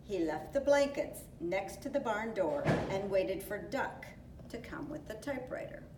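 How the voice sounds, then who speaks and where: A woman reading a children's storybook aloud in a deliberate, expressive way. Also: medium pitch. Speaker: community volunteer reading a picture book aloud. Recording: roomy echo, distant mic, faint noise.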